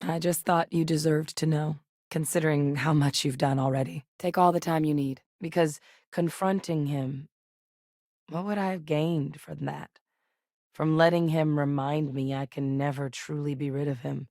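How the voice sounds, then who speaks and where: A low-voiced, no-nonsense scientist-officer in a game, matter-of-fact, dry studio read. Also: female voice, fairly even intonation, a little mellow, dry recording. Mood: steady. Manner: measured, clipped, matter-of-fact. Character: composed woman scientist with military past. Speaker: voice actress. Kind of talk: video game voice lines.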